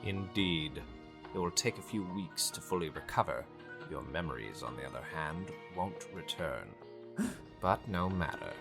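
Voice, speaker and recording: A man playing a grave, formal high cleric and mentor in a dark fantasy visual novel, with measured delivery. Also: expressive, vocal fry, heavily acted. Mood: reassuring, solemn. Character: male vampire high cleric, grave mentor, deferential servant. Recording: music behind the voice.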